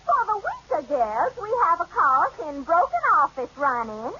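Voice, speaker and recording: A grandiose, self-praising business-school mistress lectures her class in a very high, lively voice that swoops about. The muffled old radio broadcast carries a faint hiss. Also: normal speed, full character voice. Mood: haughty. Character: pompous, bossy female business-school teacher. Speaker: secretarial school teacher. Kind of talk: 1940s radio comedy acting.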